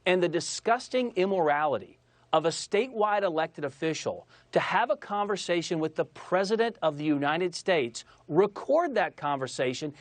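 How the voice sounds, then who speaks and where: A man's voice: high-pitched, dry recording. A man speaking as a political spokesman in a TV interview, arguing emphatically and combatively with repeated phrases for stress. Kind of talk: argumentative TV interview talking points.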